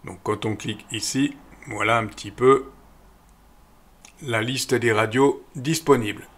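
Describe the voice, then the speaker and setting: A middle-aged man calmly walking viewers through an app step by step, with frequent fillers. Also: mid-range voice, measured pace, breathy edge. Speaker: radio equipment channel host. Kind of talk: screen-recorded app tutorial voice-over.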